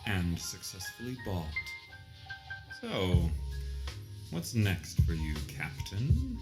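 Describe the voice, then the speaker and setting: Deep, suave corporate officer. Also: male voice, huge pitch swings, takes it slowly, heavily acted. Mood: wry. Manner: formal, polished, wordy. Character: suave, pompous male corporate officer, shrewd and dry. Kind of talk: audio drama acting. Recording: loud music bed under voice.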